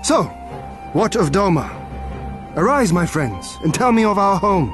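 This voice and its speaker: An actor playing a wry, resolute young samurai lord in a fantasy game, with formal, archaic, courtly speech. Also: male voice, high voice, big pitch swings, measured pace, music in the background. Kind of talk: fantasy game cutscene acting.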